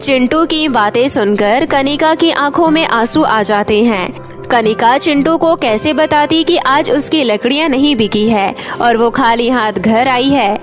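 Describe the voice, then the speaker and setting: A woman's voice: high voice, measured pace, faint music behind. A woman narrator reading a scripted cartoon moral story.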